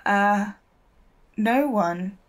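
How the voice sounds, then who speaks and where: An actress playing an earnest, stubborn, idealistic newspaper reporter in an audio drama, speaking defensively. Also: female voice, medium pitch, extremely slow.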